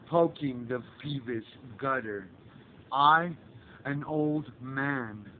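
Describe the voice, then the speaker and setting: A man reciting a poem in a dramatic, theatrical, declamatory way, in halting phrases that swell in force. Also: on the high side, phone-quality sound, a little hiss or hum.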